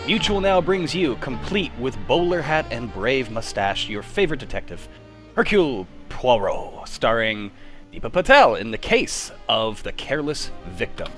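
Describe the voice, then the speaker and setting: A man's voice: mid-range voice, big pitch swings, normal speed, fairly bright tone. Man as a theatrical radio announcer over music. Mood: grand. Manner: theatrical, formal. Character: grand, dramatic old-time radio announcer.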